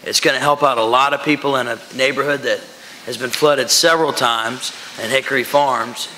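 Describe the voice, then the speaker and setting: Male council member, forceful bright tone, informal meeting remarks, reverberant hall. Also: medium pitch, steady tone, moderate pace. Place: large council meeting room. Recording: echoey hall with background noise.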